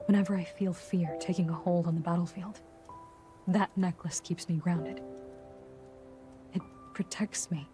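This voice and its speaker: An actress playing a calm, resolute and caring female mercenary in a video game, gentle and steady. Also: on the low side, unhurried, pauses often, music in the background, natural voice. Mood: tender, reassuring. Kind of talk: dramatic video game acting.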